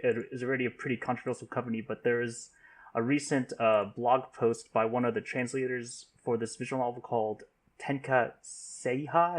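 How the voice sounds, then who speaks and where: A man hosting a casual podcast discussion, speaking haltingly with repeated false starts and stammers. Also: medium pitch. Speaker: podcast host.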